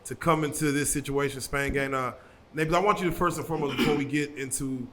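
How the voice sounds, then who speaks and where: A man's voice: mid-range voice, steady tone, fast, a bit bright. A man hosting a casual interview, asking rambling questions full of fillers and slang. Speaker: interview host.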